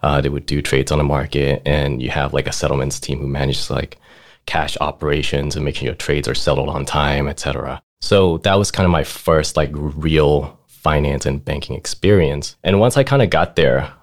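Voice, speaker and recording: A man's voice: very deep voice, normal speed. A man in his thirties who grew up in Thailand, a finance manager telling his career story in a laid-back way, laughing often and saying "like" and "right?" a lot. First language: Thai. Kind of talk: casual interview storytelling.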